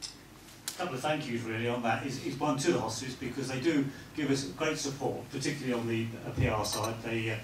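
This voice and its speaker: A man speaks from some distance in an echoey room with clear background noise. He is presenting fundraising cheques in an informal thank-you speech, with a halting, hesitant delivery and a middle-pitched voice. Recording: echoey, noisy room, far from mic.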